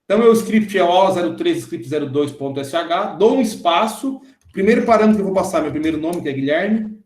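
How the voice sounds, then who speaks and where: Man in his thirties, a programming teacher with a slightly high voice, explains step by step, echoey room sound. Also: lively intonation, fairly quick, darkish tone. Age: 30s. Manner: explains step by step, often checking in with the class. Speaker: programming instructor. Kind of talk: screen-recorded coding lesson.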